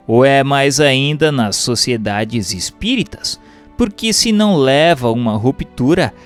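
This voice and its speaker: A man with a Brazilian accent reading a book aloud as a formal, measured, didactic narration. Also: mid-range voice.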